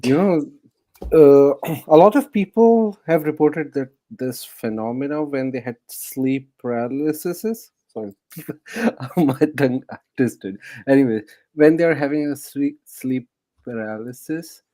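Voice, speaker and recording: A man with a non-native accent talks in a casual discussion, his voice very dark and mellow. He is heard close and dry. He argues in an animated, questioning way, full of fillers. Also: medium pitch, a little slow, no room sound. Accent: non-native English. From abroad, lives in Canada.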